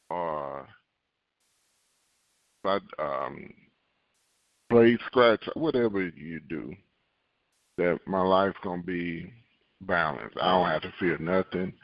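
A man preaching a sermon, reading scripture and acting out worried believers in a raised voice, repeating key lines slowly for emphasis. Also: sits low, no room sound, muffled recording. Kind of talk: podcast sermon.

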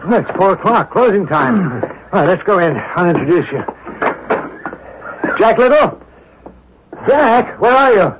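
An actor playing a bold, quick-witted amateur sleuth in a 1970s radio drama. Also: male voice, high-pitched, very animated, background noise. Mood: urgent, excited. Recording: dull 1970s radio broadcast recording.

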